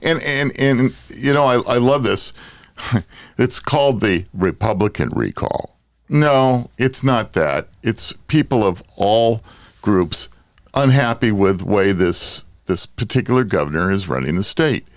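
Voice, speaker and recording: American male podcast host, lowish voice, lively swings in pitch, exasperated banter, casual panel talk. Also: measured pace, no echo.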